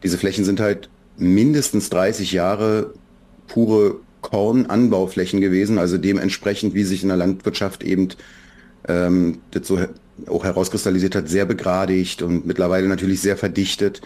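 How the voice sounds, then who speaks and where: Low-voiced reflective German man. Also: level tone, normal speed, dark tone. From Berlin, Germany. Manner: reflective, many fillers and restarts. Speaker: children's book author, guest. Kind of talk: relaxed podcast conversation.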